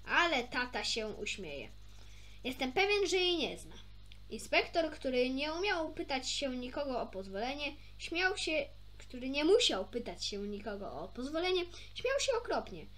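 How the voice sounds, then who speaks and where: A woman's voice: high voice, sing-song, normal speed, a bit bright. A woman reading a children's story aloud expressively, occasionally stumbling and rereading a phrase.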